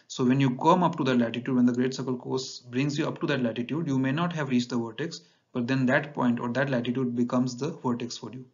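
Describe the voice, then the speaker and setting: A man teaching navigation over slides in a measured lecture, often pausing with fillers. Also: mid-range voice, fairly even intonation. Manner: measured, explanatory, with frequent fillers and restarts. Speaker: navigation instructor. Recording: dull lo-fi voice-over.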